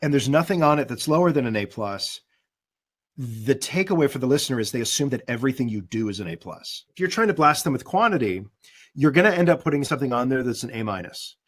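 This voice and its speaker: Thoughtful man with a mid-pitched voice. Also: big pitch swings, fast, fairly bright tone. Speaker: guest casting director. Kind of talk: conversational interview advice.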